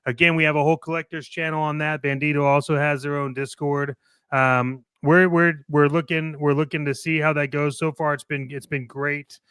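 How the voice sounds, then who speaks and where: Bright, clear male show co-host. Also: on the high side, little pitch movement, moderate pace, no room sound. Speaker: co-host of a toy collectors' show. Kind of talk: casual show banter with promotional shout-outs.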